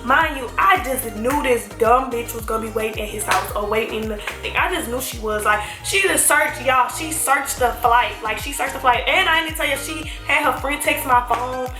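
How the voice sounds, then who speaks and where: A woman's voice: on the high side, moderate pace, few pauses. A young woman telling a story to camera in a casual, slangy, emphatic way, talking straight to her viewers. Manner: animated, slangy, emphatic, addresses viewers as y'all. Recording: echoey room with faint music.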